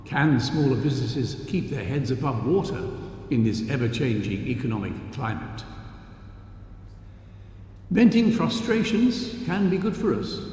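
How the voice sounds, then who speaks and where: A man playing a formal, measured news announcer giving cryptic, eerie advice in a horror game. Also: medium pitch, very animated, a little mellow. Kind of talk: scripted broadcast announcement. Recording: echoey hall, long reverb, faint noise.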